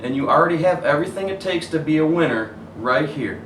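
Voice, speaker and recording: A man voicing a caring, encouraging father in a children's storybook, gently questioning and reassuring. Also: fairly high pitch, expressive, fast talker, voice pushed up, natural voice. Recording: roomy, distant mic, faint hiss.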